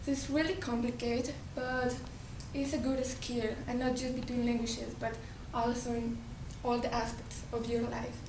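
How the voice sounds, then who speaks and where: A woman with a Colombian Spanish accent gives an earnest talk, often restarting her words. Her voice sits high. It is picked up from a distance in an echoey hall, with steady noise and a low rumble behind her. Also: moderate pace, few pauses. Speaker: speaker giving a talk. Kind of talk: presentation to an audience.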